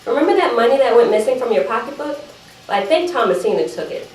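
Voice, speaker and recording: A woman's voice: fairly high pitch, very animated, fast talker, a little mellow. Woman as teenage sister making excuses to Mama, apologetic yet talkative, dramatic reading in a reverberant room with faint noise. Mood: pleading, evasive. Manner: defensive, apologetic, chatty digressions. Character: evasive teenage sister pleading her case to Mama. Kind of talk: dramatic monologue read aloud at a live event. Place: live event room, recorded from a distance. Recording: roomy, distant microphone, faint background noise.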